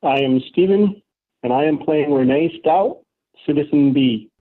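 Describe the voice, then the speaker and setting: A man's voice: fairly high pitch, measured pace. A man reading scripted material aloud, heard over a tinny line.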